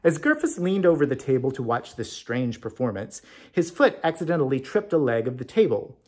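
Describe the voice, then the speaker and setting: A man reading a storybook tale aloud as narrator, in an expressive scripted style. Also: mid-range voice, fairly quick. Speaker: story reader.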